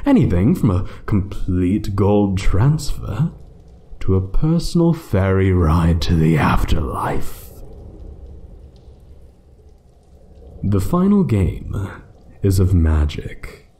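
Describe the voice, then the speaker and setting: An ancient dragon guarding its hoard , acted by a man, speaks in a dark, mellow voice that swings widely in pitch. The delivery is measured and theatrical, sometimes hushed, with a condescending, playful menace suited to fantasy ASMR. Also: big pitch swings, exaggerated voice. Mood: ominous, taunting. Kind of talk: fantasy ASMR roleplay monologue.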